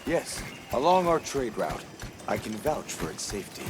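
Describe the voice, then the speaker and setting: A man playing a steady, courteous caravan leader with measured, formal phrasing in a video game. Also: medium pitch, noisy background, natural voice. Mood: courteous, assured. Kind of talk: video game cutscene acting.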